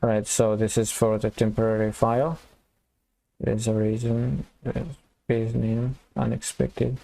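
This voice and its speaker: A man giving a casual coding tutorial, thinking aloud and explaining step by step in an informal, halting way. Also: medium pitch, level tone, gravelly, mellow, poor audio. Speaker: programming tutorial instructor.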